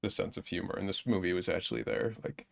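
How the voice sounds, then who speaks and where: Man, deep pitch, creaky gravelly tone, halting delivery, casual film talk. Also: steady tone. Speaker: podcast co-host. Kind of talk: casual movie review chat.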